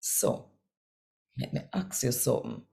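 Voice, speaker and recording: A woman in her sixties with a Jamaican accent, hosting and reading scripture in an expressive, deliberate storytelling way. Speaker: channel host and scripture reader. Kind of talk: daily scripture reading and chat in Jamaican Patois. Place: small indoor room at home.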